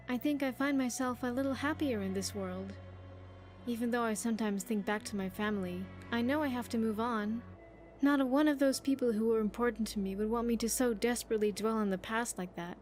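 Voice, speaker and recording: A high-pitched young woman, voiced by an actress, plays an earnest game companion. She talks in a sincere, chatty way with slightly formal wording, over soft background music. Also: natural voice. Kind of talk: video game character dialogue.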